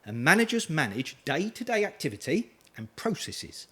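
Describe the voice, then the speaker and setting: A middle-aged man speaking at a conference, his voice bouncing up and down with energy. He sounds a touch husky and pitched a little high, and he keeps asking the audience questions. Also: sing-song, moderate pace, bright, forceful tone. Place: conference hall.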